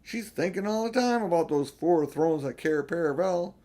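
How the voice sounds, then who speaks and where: Man as storybook male beaver, raised pitch, grave, worldly-wise, insistent, emphatic warnings. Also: expressive, normal speed, warm tone, close to an everyday voice. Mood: alarmed warning. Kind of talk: storybook character voice in an audiobook.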